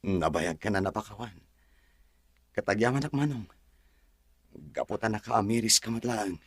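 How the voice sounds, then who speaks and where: An actor with an Ilocano accent playing a calm, forgiving younger brother in a drama. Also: male voice, fairly low pitch, moderate pace, breathy edge, no echo, clean studio sound. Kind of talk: drama dialogue.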